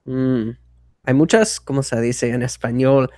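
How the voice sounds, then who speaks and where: A man hosting a podcast in casual chat, a Spanish learner with as his who often pauses to search for words. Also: medium pitch, a little mellow, dry recording, studio quality.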